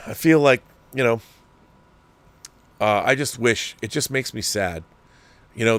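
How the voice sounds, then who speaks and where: An older man who hosts his own channel, giving a rambling, opinionated rant in a casual, animated way. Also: mid-range voice, no echo. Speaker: channel host. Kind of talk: ranting commentary.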